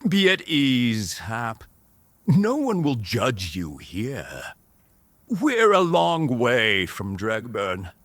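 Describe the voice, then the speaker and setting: Rebel outlaw chief, charismatic and reassuring, very slow with animated swings, male actor, fantasy audio drama. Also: mid-range voice, dry recording, exaggerated voice. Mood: reassuring, fervent.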